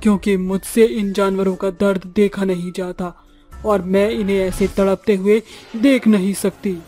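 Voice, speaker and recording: A man's voice: high voice, flat delivery, unhurried, dark tone, soft background music. A man voicing a compassionate female witch ghost in a cartoon, speaking earnestly and plaintively. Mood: earnest, sorrowful. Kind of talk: Hindi horror cartoon voice acting.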